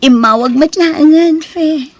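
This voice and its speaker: A woman's voice: high-pitched, takes it slowly, a little hiss or hum. A woman with an Ilocano accent playing an affectionate young woman in love in an audio drama. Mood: happy. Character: affectionate young woman missing her cadet boyfriend. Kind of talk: Ilocano radio-style audio drama acting.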